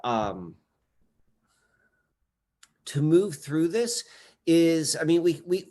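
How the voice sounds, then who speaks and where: A man in his forties, a podcast guest, talking casually in a rambling way with many fillers and restarts. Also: a little high. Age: 40s. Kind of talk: casual conversation.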